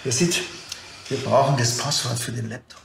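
An actor playing a blunt, impatient police detective with a dry, curt manner. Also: male voice, medium pitch, fairly even intonation, normal speed, creaky, a bit bright. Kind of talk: TV crime comedy acting.

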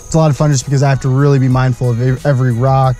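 A man's voice: mid-range voice, little pitch movement, rapid-fire, warm tone, soft background music. A young man vlogging while unicycling along a wooded trail, chatting casually and playfully to other hikers, sometimes out of breath. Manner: playful, hums and exclaims while riding, out of breath at times. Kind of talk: casual chat while riding. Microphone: helmet or chest action camera.

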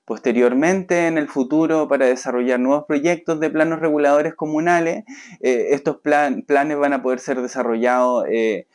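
A man's voice: on the high side, steady tone, a little mellow. A man in his thirties with a Chilean accent explaining something very hesitantly as an official in a news interview. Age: 30s. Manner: very hesitant, drawn-out pauses. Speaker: municipal works director. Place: office indoors. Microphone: lapel microphone.

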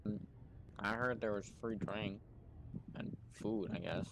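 A man's voice: on the low side, steady tone, measured pace. A man chatting casually in an online roleplay game, with hesitant, laid-back phrasing. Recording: noisy game voice chat.

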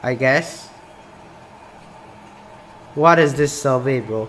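Slow-talking young man from Singapore. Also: mid-range voice, a bit bright, a little hiss or hum. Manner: reads questions aloud and reacts, saying "bro" a lot. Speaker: gaming YouTuber. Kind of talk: casual gameplay commentary. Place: small room indoors.

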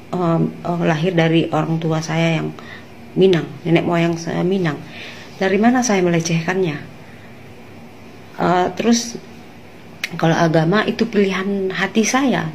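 A woman's voice: fairly low pitch, a little hiss or hum. A young woman from West Sumatra, speaking earnestly into a phone camera in a small room and answering critics with rhetorical questions. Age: young adult. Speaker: convert replying to comments. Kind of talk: direct-to-camera reply video. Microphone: phone at arm's length.

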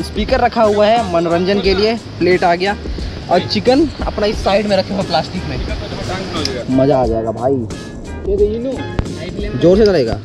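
A young man from Jharkhand, India, chatting excitedly to viewers about a forest picnic trip, recorded outdoors on a handheld action camera. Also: high voice. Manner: excited, chatty, addresses viewers as bhai and yaar. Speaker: travel vlogger. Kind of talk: casual travel vlog narration. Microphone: action camera or phone held by the speaker.

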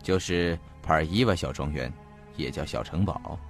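An actor playing a genial, measured police chief confiding a case in an audio mystery drama, in a good-humoured conversational way. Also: male voice, extremely low pitch, soft background music. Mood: amiable, confiding.

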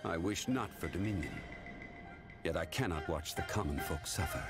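An actor playing a stoic, ancient god-like man in a game trailer, speaking formally and deliberately with solemn authority. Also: sits low. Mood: grave resolve. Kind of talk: dramatic game trailer acting. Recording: music behind the voice.

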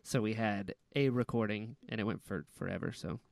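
A man in his twenties, a guest on a podcast over a video call, chatting in an upbeat way with frequent laughs and sudden jumps in pitch. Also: brisk, gravelly, no room sound. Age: 20s. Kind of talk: casual conversational interview.

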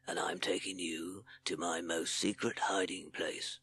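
A woman voicing a sly, lonely storybook snake in a breathy, drawn-out whispered hiss. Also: very deep voice, little pitch movement, a bit bright, full character voice. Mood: sly, secretive. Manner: slow, drawn-out sibilant delivery. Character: hissing sly snake, lonely, softly wheedling.

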